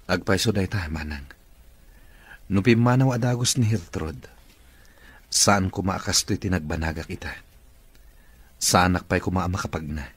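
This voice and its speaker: A man's voice: low voice, normal speed, long pauses. An actor playing a firm, commanding male boss in an Ilocano radio drama, speaking with an Ilocano accent. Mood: stern, determined.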